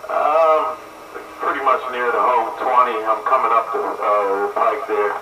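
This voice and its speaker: A man's voice: medium pitch, fairly even intonation, unhurried. An American man making casual radio small talk as a CB operator calling from his vehicle. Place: moving vehicle. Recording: distant, roomy, noisy CB radio transmission.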